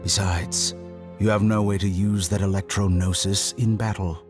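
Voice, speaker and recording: Man acting a suave, low-voiced mad scientist villain. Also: little pitch movement, soft background music, close to an everyday voice. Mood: smooth menace. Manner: theatrical, deliberate, mock-courteous, savoring words. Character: suave, condescending male mad scientist villain, coldly amused. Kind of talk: English video game voice acting.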